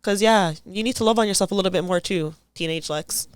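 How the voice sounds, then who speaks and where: A woman's voice: mid-range voice, brisk, smooth, no echo, clean studio sound. A young woman, speaking as an interview guest. She talks in a thoughtful, casual way and often says "like.". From Toronto, Canada. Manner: thoughtful, frequent "like" fillers.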